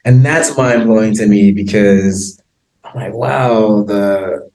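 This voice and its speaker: An American man chatting casually as an interview guest, laughing often and filling pauses with ums and likes. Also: fairly low pitch, a little mellow, reverberant. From United States. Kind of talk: casual conversation.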